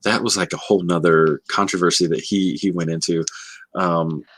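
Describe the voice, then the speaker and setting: Man, low pitch, dry recording, casual discussion, halting, thinking aloud. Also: fairly even intonation, fairly bright tone, dry recording. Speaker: livestream co-host discussing a book. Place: indoors, livestream call.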